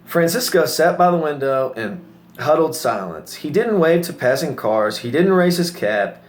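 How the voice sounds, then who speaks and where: A man's voice: fairly high pitch, lively intonation, normal speed. A man reading a children's story aloud as a narrator. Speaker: story reader.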